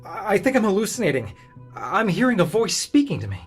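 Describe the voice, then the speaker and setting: A man's voice: sits high, wildly swooping pitch, a little fast. An actor playing a jittery, bewildered man in an experiment, stammering and hesitant in audio drama style. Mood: disoriented, wary. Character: bewildered, jittery male test subject in an experiment. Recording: music bed under binaural drama voice.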